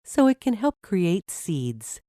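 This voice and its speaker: A woman voicing a patient, encouraging mother who explains things gently to her child in a storybook. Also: lowish voice, very animated, slow pace, no room sound, clean studio sound, voice pushed up. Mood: patient, explanatory.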